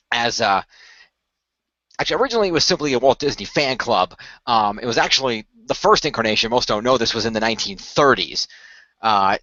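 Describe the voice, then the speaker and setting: A man's voice: mid-range voice, pushed and bright, dry recording, highs cut off. A man playing a polite, eager young studio employee in a radio play, apologetic and hesitant as he explains things at length.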